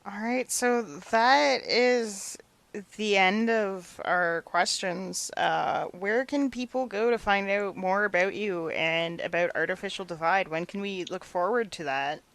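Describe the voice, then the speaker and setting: A woman's voice: medium pitch, unhurried, gravelly, a bit bright, dry recording. A woman hosting a podcast, speaking in a conversational interviewing style.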